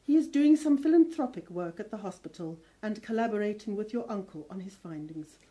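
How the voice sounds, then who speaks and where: A woman's voice: mid-range voice, expressive, fairly quick, dull audio, lightly acted. A woman playing a kindly, fussing older spinster housekeeper in a radio play. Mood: concerned, insistent. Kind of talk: audio drama acting.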